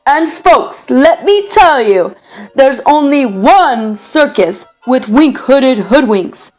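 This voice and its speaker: Woman playing an eager, high-voiced boastful young boy. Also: lively intonation, slow, a little mellow, faint music behind, poor audio. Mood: proud showman. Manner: grandiose showman's patter, singsong and emphatic.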